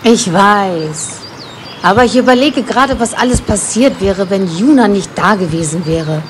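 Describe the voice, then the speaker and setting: A woman's voice: mid-range voice, animated, moderate pace, a little hiss or hum, lightly acted. A woman playing a bitter, grumpy exiled witch in an audio drama, whiny and snappish.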